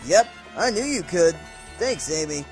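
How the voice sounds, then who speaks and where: A man's voice: high-pitched, lively intonation, unhurried, over music. A man voicing a confident, encouraging young hedgehog hero with an upbeat manner in a comic dub. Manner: upbeat, assured. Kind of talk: amateur comic dub voice acting.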